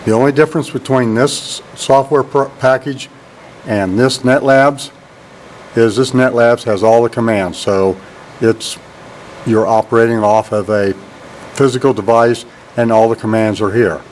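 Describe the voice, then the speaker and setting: A man teaching networking, talking in a deep monotone and often saying "all right" as he walks a handheld camera through an empty computer lab. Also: unhurried, a little hiss or hum, rough recording. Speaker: networking instructor. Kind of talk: casual classroom tour walkthrough. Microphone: handheld camera mic while walking.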